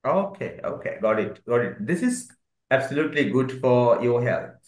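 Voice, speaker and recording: A man's voice: medium pitch, animated, normal speed. A man teaching an online spoken English class, calling on students and praising their answers. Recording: roomy echo.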